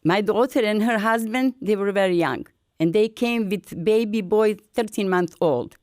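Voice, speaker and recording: An older woman from Bosnia with a Bosnian accent, telling her story in halting, broken English in an earnest, encouraging way. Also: medium pitch, darkish tone, no echo, clean studio sound. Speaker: featured artist. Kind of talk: personal storytelling.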